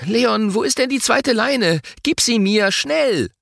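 A man voicing an irritable, excitable young boy who scolds and calls urgently in a children's story. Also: extremely high pitch, slow pace, gravelly, no echo, clean studio sound, pitched above the performer's own voice, exaggerated voice.

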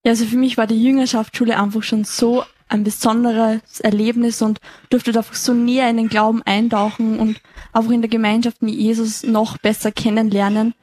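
A young Austrian woman answers questions on a radio show, chatty and eager, with many fillers. Her voice sits a little high and sounds close and dry. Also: level tone, fairly quick, darkish tone, no room sound. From Lower Austria. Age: 20s. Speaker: student guest. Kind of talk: interview answers. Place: bookshop café.

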